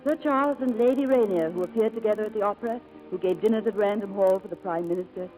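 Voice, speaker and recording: An actress playing a poised, quietly lovelorn society wife with a restrained, refined manner in a 1940s radio drama. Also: female voice, mid-range voice, fast, natural voice, low-quality recording. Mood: wistful. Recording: vintage radio broadcast with music.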